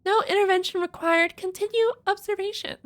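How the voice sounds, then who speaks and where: A woman game streamer giving casual reaction commentary, thinking out loud conversationally and sometimes reading text aloud. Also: extremely high pitch, steady tone, brisk.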